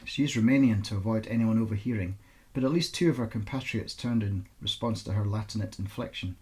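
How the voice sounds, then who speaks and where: A man speaking as an author presenting and reading aloud his own fiction in an informal, expressive storytelling style. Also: on the low side, brisk. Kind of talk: informal introduction, then expressive audiobook-style narration.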